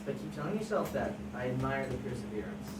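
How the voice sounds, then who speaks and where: A woman's voice: a bass voice, sing-song, quick pace. A woman playing a stern, dry nineteen-year-old babysitter in an amateur script table read. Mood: stern, exasperated. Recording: echoey room, distant mic, background noise.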